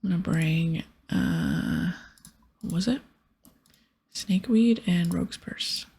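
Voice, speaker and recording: A woman doing whispered ASMR gameplay narration, with soft murmured asides to herself. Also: lowish voice, huge pitch swings, takes it slowly. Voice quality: whispery, breathy. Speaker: game streamer reading quest dialogue.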